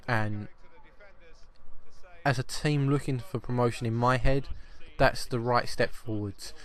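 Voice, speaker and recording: A man, slightly croaky with a cough, giving chatty football video game commentary and often repeating his first words. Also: mid-range voice, steady tone, measured pace. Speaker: gaming channel host.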